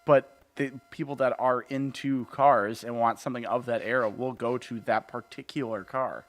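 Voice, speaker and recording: A man's voice: mid-range voice, steady tone, normal speed. Laid-back male car podcast co-host. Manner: rambling, laughs often, frequent ums. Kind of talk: casual car chat.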